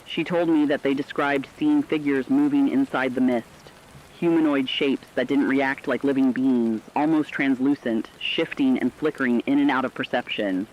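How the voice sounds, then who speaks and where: A man's voice: medium pitch, steady tone, normal speed, natural voice. A man playing a solemn, formal news anchor giving emphatic warnings in a scripted emergency radio drama. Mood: solemn, urgent. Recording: dull lo-fi radio sound, rainy noise.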